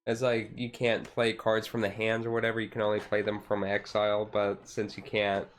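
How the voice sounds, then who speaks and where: A man streamer chatting casually in a relaxed, halting, rambling way, thinking aloud as he goes. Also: fairly low pitch. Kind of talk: relaxed casual chat about a card game, ASMR-style stream. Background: music playing softly behind the voice.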